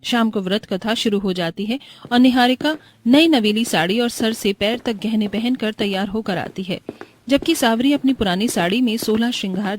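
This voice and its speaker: A woman's voice: mid-range voice, a little hiss or hum. A woman narrating a moral bedtime story as a scripted voice-over.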